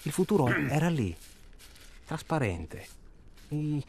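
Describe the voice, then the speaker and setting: An actor playing a self-absorbed, stalling hunter in a naturalistic radio drama, rambling and irritable. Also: male voice, on the high side, big pitch swings, takes it slowly, vocal fry, slight background noise, heavily acted. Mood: wistful, musing. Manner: hesitant, rambling, irritable, colloquial. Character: self-absorbed, stalling male tourist hunting human prey.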